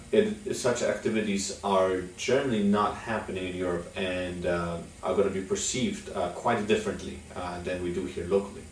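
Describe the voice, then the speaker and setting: A young man from Poland, giving a measured instructional talk to camera as a business executive, with many "uh" fillers. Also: low-pitched, a little mellow, a little hiss or hum. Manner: frequent "uh" fillers, measured delivery. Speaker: chamber of commerce executive. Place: office with logo backdrop. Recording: echoey room, mic at a distance.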